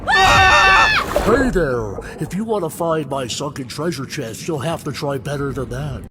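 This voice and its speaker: Shouting, taunting cartoon treasure keeper. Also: male voice, a little high, huge pitch swings, measured pace. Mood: boisterous taunting. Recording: loud music over the voice. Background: loud music.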